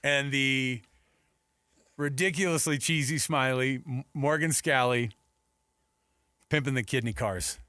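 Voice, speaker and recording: Sports radio co-host: American man, mid-pitched voice, casual bantering delivery, dry close studio mic. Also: unhurried, pauses often, bright, forceful tone, no echo. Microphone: close studio radio microphone.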